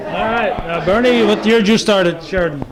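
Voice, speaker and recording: High-voiced male interviewer in a crowded room. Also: a little fast, poor audio. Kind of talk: casual interview. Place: indoor social gathering. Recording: busy room with crowd chatter.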